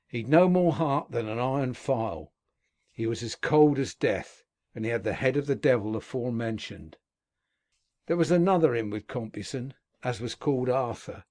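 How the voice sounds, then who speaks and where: A man voicing a rough old male convict who tells his past in earthy dialect speech. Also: medium pitch, darkish tone, lightly acted. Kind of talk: audiobook character reading.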